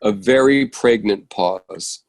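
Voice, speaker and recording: Guy reading stage directions for a play, lots of vocal fry, scripted narration. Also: lowish voice, slow pace.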